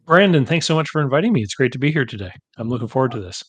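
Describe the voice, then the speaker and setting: A man from Canada, a business consultant guest on a podcast, telling his story in a brisk, friendly, explanatory way. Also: mid-range voice, lively intonation, husky, no room sound. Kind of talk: conversational interview.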